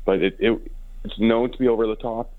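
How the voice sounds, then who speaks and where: A man speaks in a low, raspy voice, worn hoarse from celebrating. He talks haltingly as an interview guest, often repeating words while he finds the next thought. The sound is thin and muffled, like a telephone line. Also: fairly even intonation. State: hoarse and short of sleep after celebrating. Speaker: interview guest, hockey team co-owner and businessman. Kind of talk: casual radio interview. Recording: dull, phone-like band-limited line.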